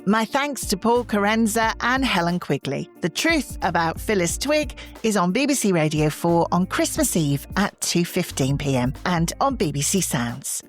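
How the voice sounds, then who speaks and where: Lowish-voiced female podcast host. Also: big pitch swings, normal speed, hardly any pauses, soft background music. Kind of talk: interview hosting, announcements.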